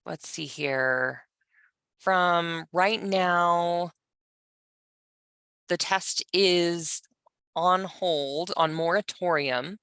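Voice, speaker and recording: A woman interpreting for a panelist, speaking in first person in a measured, halting way with pauses. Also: lowish voice, fairly bright tone, clean tone, no echo, studio quality. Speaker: sign language voice interpreter for a panelist.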